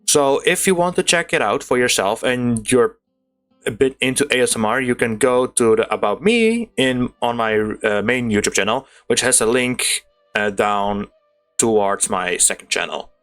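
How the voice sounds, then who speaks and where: A man giving casual, excitable gameplay commentary, chatting to his viewers as a gaming YouTuber. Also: medium pitch, moderate pace. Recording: game music behind voice. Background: video game music.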